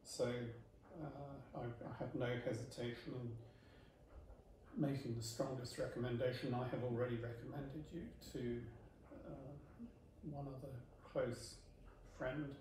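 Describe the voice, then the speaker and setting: A man in his fifties, a homeowner giving measured, polite feedback in a casual interview at his dining table. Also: medium pitch, fairly even intonation, darkish tone. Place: home dining room, indoors. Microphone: far camera. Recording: echoey room, far from mic, noisy.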